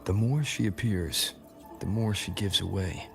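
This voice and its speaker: An actor playing a thoughtful young male wanderer in a video game, speaking in a pensive, measured way. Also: low-pitched, gravelly, a bit bright, soft background music, full character voice. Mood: pensive, resolved. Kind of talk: scripted video game dialogue.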